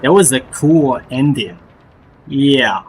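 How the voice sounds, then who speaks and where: A man's voice: mid-range voice, animated, slow, rough recording. A man streaming a game and reacting live in a casual, informal, slangy way. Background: game music.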